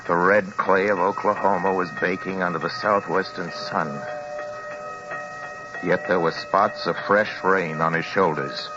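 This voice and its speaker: An actor playing a haunted, anxious American motorist, intense and dramatic, in old-time radio drama style. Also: male voice, low-pitched. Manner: intense, dramatic, halting when addressing others. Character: haunted, anxious male motorist telling his story. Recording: muffled vintage radio recording, loud music.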